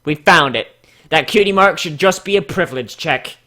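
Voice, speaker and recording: Bright-voiced man doing crude mocking commentary. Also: a little high, lively intonation, moderate pace. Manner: crude, swearing, exclamatory. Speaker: host reading fan characters aloud. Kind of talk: casual mocking commentary with dramatic readings.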